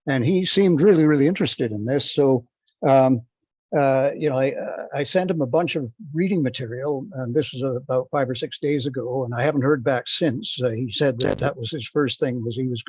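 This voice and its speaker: Husky, hesitant man on a dull line. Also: mid-range voice, a little mellow, no room sound. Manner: hesitant, rambling. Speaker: meeting participant giving a report. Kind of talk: conference call update. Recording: dull, dry teleconference audio.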